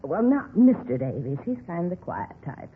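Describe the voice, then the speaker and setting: An actress playing a gossipy, nosy apartment manager in a 1950s radio drama, chatty and rambling with folksy grammar. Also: female voice, lowish voice, big pitch swings, moderate pace. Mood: gossipy, disapproving. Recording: scratchy, tinny 1950s radio recording.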